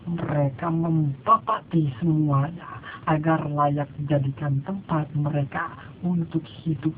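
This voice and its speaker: A man tells a fable in an unhurried, relaxed way, slipping in chatty asides. His voice sits high for a man. The recording is thin and phone-like with a faint hiss. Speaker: narrator in pirate persona. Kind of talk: casual storytelling narration. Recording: tinny lo-fi with faint noise.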